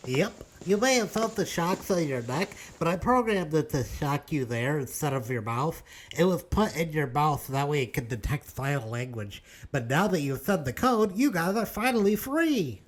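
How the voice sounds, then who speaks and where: A man's voice: on the high side, expressive, normal speed. A man playing a matter-of-fact schemer in a web cartoon, explaining things in a plain, instructive way. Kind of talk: animated web cartoon dialogue.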